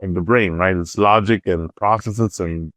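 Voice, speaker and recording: A man's voice: low-pitched, a little slow, a bit bright, dry recording. A middle-aged man with a Salvadoran accent answering interview questions conversationally, often repeating words as he searches for phrasing. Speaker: interview guest, artist and entrepreneur.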